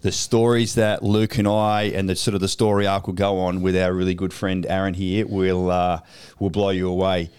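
A man's voice: low-pitched, level tone, moderate pace, darkish tone, clear, resonant tone. An Australian man hosting a podcast in an upbeat, blokey way, swearing casually as he chats. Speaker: podcast host.

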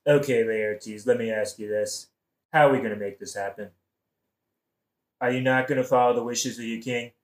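In a roomy, echoing recording, a man acts out a scheming king for a satirical audio play. He is glib and casually murderous, coaxing in plain modern speech at a middling pitch. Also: steady tone, normal speed, pauses often, close to an everyday voice. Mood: puzzled, probing.

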